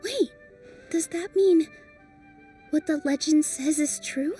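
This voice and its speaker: A gentle, earnest traveler. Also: female voice, high-pitched, takes it slowly, recorded from a distance. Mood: wondering. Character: earnest young woman traveler taken for a fairy. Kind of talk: game cutscene dub. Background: music.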